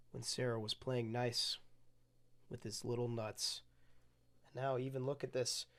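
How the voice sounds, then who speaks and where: A storytime host reading a picture book aloud in an exaggerated storybook manner with deadpan asides. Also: mid-range voice.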